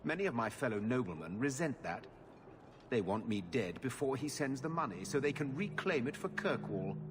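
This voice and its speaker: Male actor as rich, savvy old lord: haughty, very animated intonation, articulate, game drama, faint background noise. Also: medium pitch, normal speed, natural voice. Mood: haughty, indignant. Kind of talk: video game cutscene acting.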